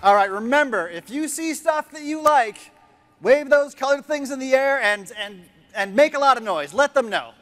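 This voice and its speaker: Fairly high-voiced guy hosting a big tech keynote, pumped up, geeing up the crowd on stage. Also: animated, moderate pace, a bit bright, faint music behind. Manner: enthusiastic, rallying the crowd. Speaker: conference keynote host. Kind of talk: energetic keynote presentation. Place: large conference stage.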